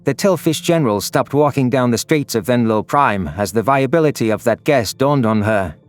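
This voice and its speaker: A man's voice: medium pitch, lively intonation, normal speed. A man narrating a scripted first-person science fiction story. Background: background music.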